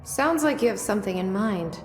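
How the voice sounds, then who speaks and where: An actress playing a young agency director in a video game, terse and probing, with an understated, dry delivery. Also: female voice, medium pitch, animated, fairly quick, faint music behind, lightly acted. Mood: wry, curious. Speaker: game protagonist. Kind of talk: video game dialogue acting. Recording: echoey hall reverb with noisy game ambience.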